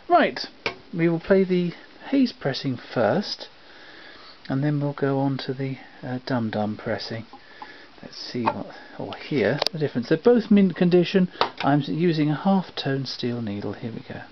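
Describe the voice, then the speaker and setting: Man with lively pitch, noisy dull recording. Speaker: record collector presenting a comparison. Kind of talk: informal spoken demonstration of records.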